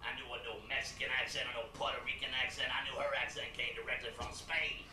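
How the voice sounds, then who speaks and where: A man's voice: mid-range voice, flat delivery, fast, roomy sound, distant mic, a crowd in the background, lightly acted. A man playing a swaggering, profane prison mentor. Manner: slangy, profane, swaggering street talk. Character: streetwise male gang inmate mentor, swaggering.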